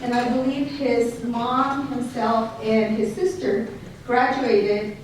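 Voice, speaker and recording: A woman's voice: a little high, steady tone, slow, slight background noise. A middle-aged woman from Mexico with a Mexican Spanish accent, a school district director giving an award introduction at a banquet. She reads prepared remarks and adds joking asides to the audience. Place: large banquet hall. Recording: echoey hall recorded from far off.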